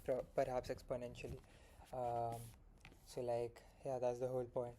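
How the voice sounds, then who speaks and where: A man's voice: medium pitch, completely flat delivery, moderate pace, dark tone, a little hiss or hum. A young man with an Indian accent talking through his day in a frank, rambling late-night vlog, full of fillers and self-corrections. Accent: Indian English. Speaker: solo founder vlogging his progress.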